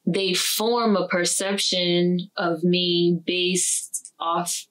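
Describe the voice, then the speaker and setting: Female host, low-ish voice, chatty casual interviewer who quotes others. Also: little pitch movement, very slow, fairly bright tone, low-quality recording. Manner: chatty, acts out what others say.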